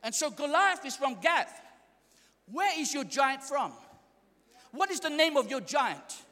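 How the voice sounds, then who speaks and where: A young man, not a native English speaker, delivers a fiery sermon with a very high, forceful, bright voice. He leads call-and-response chants and often breaks into shouts. The sound rings with long reverb in a big church hall. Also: sing-song, a little slow. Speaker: preacher.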